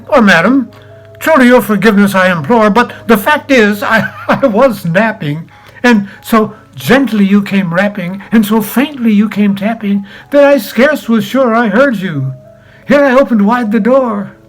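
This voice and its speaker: A man with a distinctly high voice recites poetry in a theatrical style. He is expressive, swelling and dropping away for drama. Faint music sits behind him. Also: rough recording. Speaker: poetry reader. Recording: music under the voice. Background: background music.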